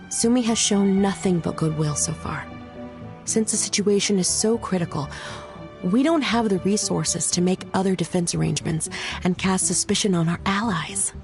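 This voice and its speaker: Woman playing a low, creaky, dutiful aide. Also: moderate pace, music in the background, close to an everyday voice. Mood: firm, respectful. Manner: formal, earnest, deferential. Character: dutiful, serious female military aide to a priestess. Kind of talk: scripted fantasy game acting.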